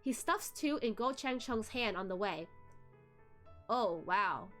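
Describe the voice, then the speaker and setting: A woman's voice: a little high, lively intonation, normal speed, faint music behind. A young adult woman hosting a read-along, narrating a novel. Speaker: book club host reading aloud.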